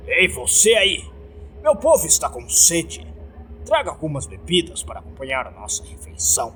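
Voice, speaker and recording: A man's voice: fairly high pitch, huge pitch swings, moderate pace, bright, forceful tone, exaggerated voice. A man voicing a scornful, domineering male cult leader who snarls his orders in a horror story. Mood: scornful, commanding. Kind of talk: dramatic horror story reading. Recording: faint background noise.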